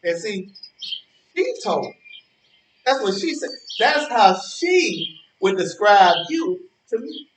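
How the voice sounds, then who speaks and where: Male, high lively voice, acted smug needling rival, boastful, repetitive emphasis, stage play, distant roomy recording. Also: slow, slight background noise, full character voice. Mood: smugly accusing. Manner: taunting, repeats phrases for emphasis, colloquial. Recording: roomy, echoey, distant from microphone.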